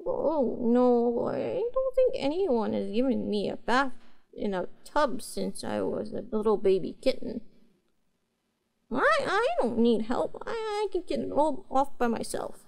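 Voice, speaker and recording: Cute neko girl: high, swooping voice, childlike and earnest, for roleplay audio. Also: sing-song, creaky, a little mellow, full character voice. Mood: reluctant, shy. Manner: hesitant, childlike, halting phrasing. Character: cute, earnest, naive young cat-girl pet. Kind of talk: roleplay audio drama, speaking to the listener.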